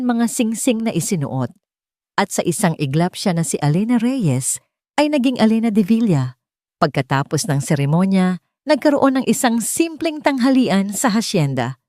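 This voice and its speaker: A woman's voice: low voice, warm tone, dry recording, clean studio sound. A woman with a Filipino accent reading a scripted dramatic story as a narrator.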